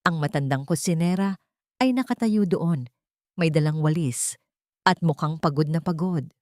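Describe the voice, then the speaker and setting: Low-pitched woman narrating drama. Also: normal speed, warm tone, no room sound, studio quality. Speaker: audiobook narrator. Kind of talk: dramatic scripted story narration.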